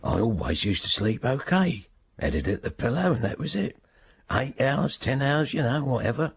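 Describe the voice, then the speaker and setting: An actor playing a weary, bitter man of seventy in a radio drama, gravelly and husky, reflecting haltingly on his past. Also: mid-range voice, sing-song, no room sound, full character voice. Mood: haunted, reflective. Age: 70s. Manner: slow, halting, reflective monologue.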